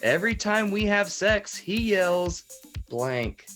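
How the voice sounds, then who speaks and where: A man's voice: high voice, expressive, a bit bright, soft background music, full character voice. A man playing an upbeat, teasing parody game show host who stretches out questions with theatrical emphasis. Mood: showy, teasing. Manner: repeats questions with drawn-out emphasis.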